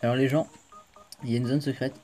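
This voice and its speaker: A man's voice: mid-range voice, little pitch movement, quick pace, a little mellow. A man hosting a casual let's play video, chatting to viewers as he plays, with a stuffed-up cold. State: congested with a cold. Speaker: gaming video host.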